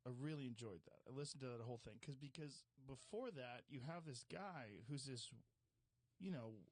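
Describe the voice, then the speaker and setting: A man's voice: medium pitch, normal speed, dry recording. A man chatting casually as a podcast host, reacting and asking questions in a conversational way. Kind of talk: casual chat.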